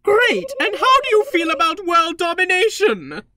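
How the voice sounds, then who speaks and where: Very high-pitched, grandiose robot queen villain. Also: wildly swooping pitch, pushed and bright, no room sound, clean studio sound, full character voice. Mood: smug, playful. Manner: theatrical, deliberate, grandiose. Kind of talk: fan-dubbed video game villain monologue. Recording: background music under the voice.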